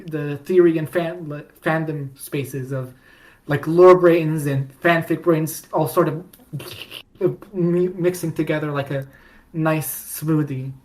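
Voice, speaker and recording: A woman's voice: deep voice, darkish tone. A woman co-hosting a podcast, chatting casually and eagerly, rambling with many restarts and fillers. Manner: enthusiastic and pleading. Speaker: podcast co-host. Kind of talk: casual fandom chat.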